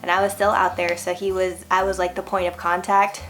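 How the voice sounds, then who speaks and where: A woman in her thirties from Hawaii, hosting a relaxed vlog and telling a personal story with frequent laughs and lots of "like". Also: fairly low pitch, brisk, faint music behind. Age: 30s. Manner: chatty, laughs often, lots of "like". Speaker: vlogger host. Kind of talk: casual storytelling chat.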